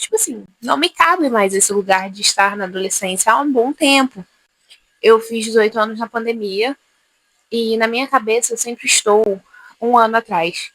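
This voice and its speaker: A woman's voice: a little high, fairly bright tone, rough recording. A woman in her twenties, talking casually to camera in a rambling, confessional way, often losing her thread. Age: 20s. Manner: rambling, self-interrupting, chatty. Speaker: vlogger.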